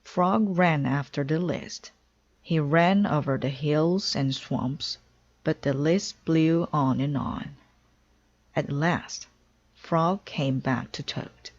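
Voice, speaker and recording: A woman with a low voice reads a children's story aloud. Her narration is careful and very slow, and the recording sounds slightly dull. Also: a little mellow. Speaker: storybook reader.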